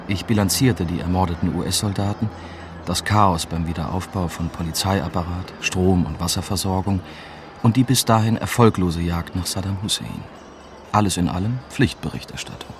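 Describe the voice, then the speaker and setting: An actor playing a young, wry German reporter, narrating a radio drama in a dry, matter-of-fact way. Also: male voice, sits low, normal speed, music in the background. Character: young, wry male German newspaper reporter recounting his story. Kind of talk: scripted first-person radio drama narration.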